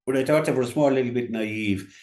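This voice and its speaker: A man with a County Clare Irish accent giving unscripted local radio commentary on Gaelic sport, haltingly, often repeating words and restarting. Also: medium pitch, a little mellow, reverberant.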